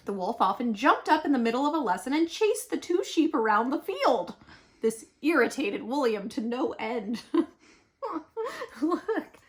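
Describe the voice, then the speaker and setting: A woman reading a children's picture book aloud expressively, with dramatic, emphatic pauses. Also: high voice. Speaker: storyteller.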